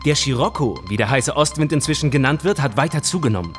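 A man's voice: mid-range voice, lively intonation, fast, a bit bright, soft background music, natural voice. An actor playing an upbeat male breakfast radio co-host in a radio drama, with breezy broadcast delivery. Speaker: radio presenter.

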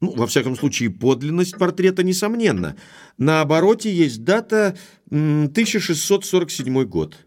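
An actor playing a frank, courteous young baronet in an audio drama. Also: male voice, on the high side, very animated, moderate pace, darkish tone. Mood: matter-of-fact. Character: Sir Henry, frank young baronet back from America. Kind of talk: Russian audio drama acting.

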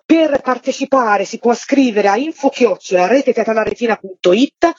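A woman's voice: a little high, darkish tone, husky, dry recording, lo-fi sound. A woman, chatting enthusiastically as a festival guest in an informal radio interview.

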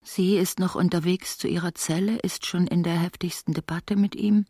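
A woman narrating a literary radio play in a restrained, measured way, with terse descriptive phrases. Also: on the low side, fairly even intonation, mellow, no room sound, clean studio sound.

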